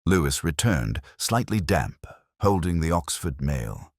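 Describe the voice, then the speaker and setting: Male narrator, very low register, dry close sound, measured literary delivery for detective fiction with wide melodic movement. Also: big pitch swings, no room sound. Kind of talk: scripted first-person detective story narration.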